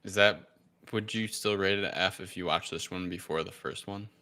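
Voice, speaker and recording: A man with a deep voice that has a crisp, bright edge. He is a panelist in casual film review banter, speaking informally and feeling his way through his points. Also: fairly even intonation, normal speed, no room sound. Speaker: podcast panelist.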